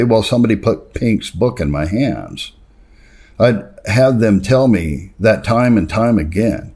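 A man's voice: low voice, a little mellow, slightly rough. A man reading a first-person testimony aloud in an earnest, plain-spoken storytelling way. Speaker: reader.

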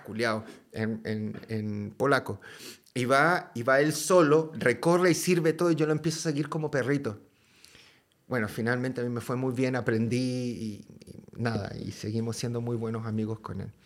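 A man from Chile with a Chilean accent, a podcast guest telling a story in a casual, lively way, full of slang and swearing. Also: mid-range voice.

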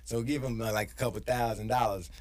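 A man's voice: mid-range voice, fairly even intonation, quick pace, crowd noise. An American man chatting casually on a podcast in slangy speech, often checking that he is understood.

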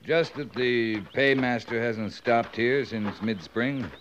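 A man's voice: mid-range voice, takes it slowly, fairly bright tone, slight background noise. A man playing a dutiful American cavalry captain in a 1950s radio western, terse, understated and dry. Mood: dryly concerned. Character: laconic, dutiful cavalry captain who stands up for his men. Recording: muffled old 1950s radio recording.

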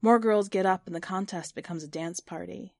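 Woman reading a short story audiobook style, kinda low voice, no room sound. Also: brisk, gravelly, no echo, clean studio sound. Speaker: story narrator. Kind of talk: literary audiobook narration, first person.

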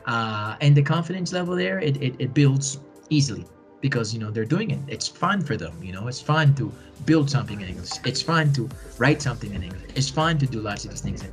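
A man's voice: medium pitch, expressive, brisk, faint music behind. A man in his forties, a bilingual educator answering interview questions in an earnest, encouraging way and often repeating phrases for emphasis. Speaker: podcast guest, bilingual educator.

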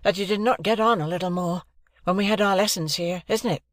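A man's voice: high voice, fairly quick, fairly bright tone, no echo, studio quality, pitched above the performer's own voice. A man voicing an earnest young man with new prospects, speaking in a somewhat formal, eager way in a storybook reading.

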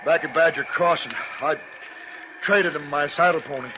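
An actor playing a harried, stubborn, plain-spoken frontier homesteader with a rural western American accent. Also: male voice, fairly high pitch, normal speed, close to an everyday voice. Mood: weary, plain. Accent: rural American West. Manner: folksy, defensive. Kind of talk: 1960s radio drama acting. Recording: noisy, muffled vintage radio recording.

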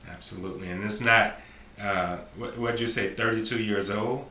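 A forties man with a very low but bright, forceful voice hosts a podcast in a chatty, affirming way. His voice rings in a roomy space with faint background noise. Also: steady tone, normal speed. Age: 40s. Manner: repetitive, affirming, talkative. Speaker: podcast host. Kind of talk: casual interview.

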